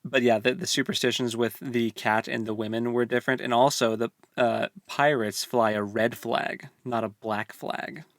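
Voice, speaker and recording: A man's voice: medium pitch, steady tone, moderate pace, few pauses, dry recording. A man talking casually about a novel with his co-host, circling and rephrasing as he goes. His mid-range voice comes across dry and close, with no room sound. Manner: rambling, lots of fillers and restarts, easygoing. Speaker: book podcast co-host. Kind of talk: casual book discussion.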